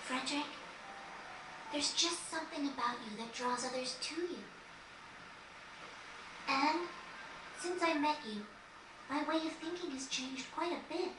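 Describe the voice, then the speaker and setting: A woman voicing a gentle, earnest young girl who uses magic, in scripted video game cutscene acting with a softly sincere delivery. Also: high voice, measured pace, long pauses. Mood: tender, wistful. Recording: roomy, distant, noisy game capture.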